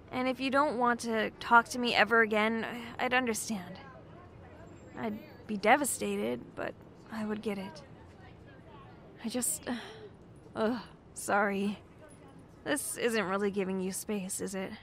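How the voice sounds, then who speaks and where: An actress playing an anxious, apologetic young bookshop clerk in an audio drama, speaking casually and hesitantly. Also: female voice, mid-range voice, fairly bright tone, a little hiss or hum. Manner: hesitant, self-deprecating, casual. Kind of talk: naturalistic audio drama acting.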